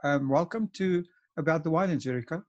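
Hesitant male podcast host, higher voice. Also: fast, highs cut off. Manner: hesitant, restarts sentences. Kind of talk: interview hosting.

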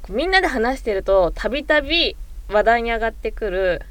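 Quick, animated woman co-host. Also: fairly high pitch. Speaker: podcast co-host. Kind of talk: casual chat.

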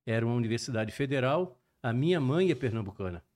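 An older man with a mixed Minas Gerais and Rio Brazilian accent, a cardiologist guest telling his story in a measured, detailed, professorial way. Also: medium pitch, lively intonation, darkish tone. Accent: mixed Brazilian Portuguese, Minas Gerais and Rio de Janeiro. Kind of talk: relaxed storytelling interview.

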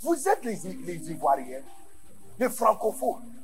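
A man from Ghana with English as his first language, preaching a sermon in French to a large conference, going from quiet asides to shouted emphasis. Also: high-pitched, huge pitch swings, slow, a little hiss or hum. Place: large church conference hall.

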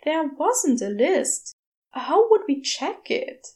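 A prim, bookish male angel from a storybook, acted out by a woman reader. The voice is lifted high, politely fussy and gentle, with anxious reassurance. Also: very animated, slow, heavily acted. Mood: anxious, reassuring. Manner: prim, polite, old-fashioned wording.

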